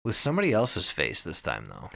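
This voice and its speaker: A man hosting a podcast, telling a story casually to friends in an excited, conversational way with some swearing. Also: low voice, a little fast, vocal fry. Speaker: podcast host.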